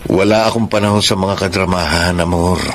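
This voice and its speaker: A man's voice: low-pitched, steady tone, brisk, a little hiss or hum, close to an everyday voice. A Filipino man acting a middle-aged schoolteacher husband in a radio drama. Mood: curt, irritated.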